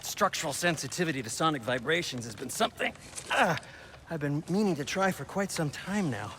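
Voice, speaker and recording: Animated, fussy dwarf craftsman. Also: a little high, a little hiss or hum. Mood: fussy, absorbed. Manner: fastidious, sardonic, hesitant asides. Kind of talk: dramatic video game acting.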